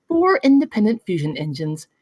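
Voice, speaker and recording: A brainy, cocky girl inventor voiced by a woman at a table read, husky and lively in pitch. She is confident, with a deadpan edge. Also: a little slow, dry recording. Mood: eager, proud.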